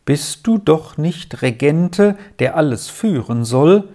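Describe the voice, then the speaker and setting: A storybook narrator voicing a gentle, ailing father, an army major who speaks calmly and soothingly. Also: medium pitch, takes it slowly. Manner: calm, soothing, resigned.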